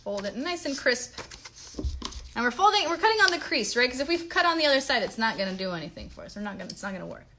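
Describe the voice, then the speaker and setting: A woman with a high voice and very animated intonation hosts a children's craft livestream. She talks casually and encouragingly, checking in with short tag questions. Some noise sits behind her voice. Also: fast talker. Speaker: children's show host and craft teacher.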